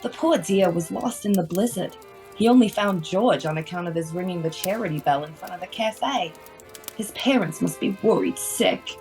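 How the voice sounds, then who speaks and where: A woman's voice: fairly low pitch, moderate pace, darkish tone, soft background music, natural voice. A woman in an audio play acting a caring, resourceful wife who fusses kindly over everyone. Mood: concerned, plotting. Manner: fussing, kindly.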